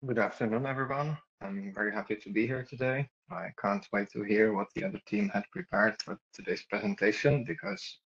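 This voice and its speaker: A man's voice: fairly low pitch, a little fast, few pauses, a bit bright, highs cut off. A young man, a student debater, giving a polite introductory remark on a call. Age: young adult.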